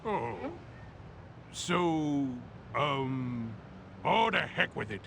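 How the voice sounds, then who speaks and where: Snooty supervillain, super gravelly and sharp, kinda high, cartoon game. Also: male voice, lively intonation, extremely slow, slight background noise, full character voice. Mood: awkward, scheming. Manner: haughty, theatrical. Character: gravelly male supervillain, scheming, disdainful, slightly awkward. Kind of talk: cartoon video game acting.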